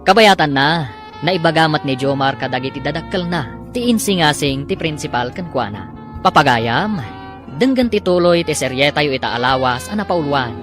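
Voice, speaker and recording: A man's voice: on the high side, lively intonation, normal speed. A man narrating and announcing a radio drama in a scripted broadcast style. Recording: radio broadcast with music underneath. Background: music.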